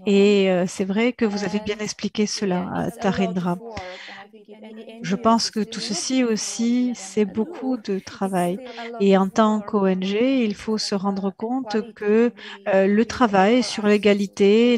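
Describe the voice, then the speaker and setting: A woman moderating an online panel discussion, speaking hesitantly with frequent filler pauses and restarts. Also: medium pitch, steady tone, darkish tone. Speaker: forum moderator.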